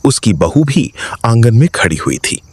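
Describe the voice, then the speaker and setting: Slow, suspenseful male horror narrator. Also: medium pitch, very animated, slightly rough, no echo. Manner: suspenseful, with dramatic pauses. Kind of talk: dramatic scripted horror story narration.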